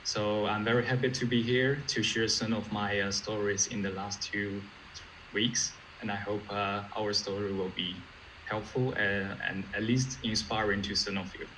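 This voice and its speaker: A man's voice: lowish voice, level tone, normal speed, bright, forceful tone, slight background noise, rough recording. A man introducing himself as a UX designer at an online meetup, polite and a bit hesitant with "uh" fillers.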